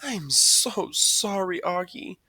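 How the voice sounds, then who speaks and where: A man voicing a gentle, concerned and affectionate mother in an audiobook, speaking in a tender, coaxing, emphatic way. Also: on the high side, sing-song, moderate pace, dry recording, voice pushed up, full character voice. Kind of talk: audiobook character dialogue.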